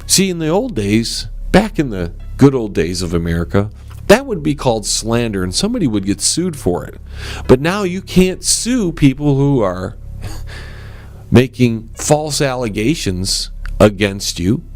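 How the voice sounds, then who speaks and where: A man hosting his own talk show, with a strong voice, delivering a forceful, animated opinion monologue straight to his listeners. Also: unhurried. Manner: animated and forceful, swings up in pitch; addresses listeners as ladies and gentlemen.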